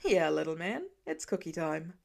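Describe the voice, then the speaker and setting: A woman playing a kindly, gruff father with an easygoing, affectionate manner in an audio story. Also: low-pitched, very animated, normal speed, a little mellow, voice pushed down, full character voice. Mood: warm, relaxed.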